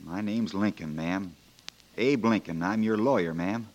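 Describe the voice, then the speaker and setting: An actor playing a calm, polite young frontier lawyer in 1940s radio drama style. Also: male voice, fairly low pitch, a little slow, muffled recording, natural voice. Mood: calm reassuring. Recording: noisy old radio recording with clicks.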